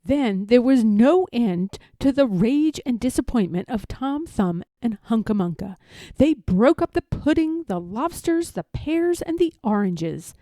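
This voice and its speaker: Lively, high-voiced woman reading a children's story. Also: measured pace, dry recording. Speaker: storybook reader. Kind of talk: expressive read-aloud of a children's story.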